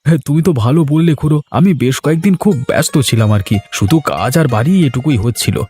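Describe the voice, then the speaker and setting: A man's voice: a little high, expressive, fast, darkish tone, husky, faint music behind. A man voicing an easygoing, dutiful young villager in a cartoon story. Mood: calm, resigned. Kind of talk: Bengali moral-story cartoon voice acting.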